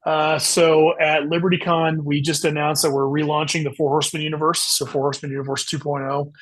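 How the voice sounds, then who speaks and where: A man with a slightly high voice talks casually as an interview guest. He is an author explaining his work in a conversational, unscripted way. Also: level tone, a little fast, poor audio.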